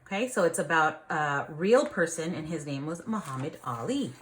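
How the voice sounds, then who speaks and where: A woman's voice: low-pitched, lively intonation, noisy background. A woman teacher reading a picture book aloud to children, stopping to ask questions and explain things in simple words. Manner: instructive, pausing to question and explain simply to young listeners.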